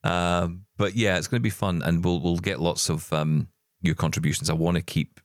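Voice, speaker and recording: A man's voice: low-pitched, fairly even intonation, normal speed, no room sound, studio quality. A man co-hosting a podcast, chatting in an upbeat, rambling way. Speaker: podcast co-host. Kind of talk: casual podcast chat.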